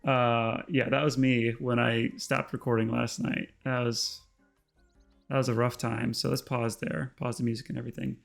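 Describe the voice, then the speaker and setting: A man hosting a casual tutorial, chatty and full of ums, his voice slightly hoarse. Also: mid-range voice, flat delivery, normal speed, soft background music. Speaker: host. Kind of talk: casual screen-recorded tutorial narration.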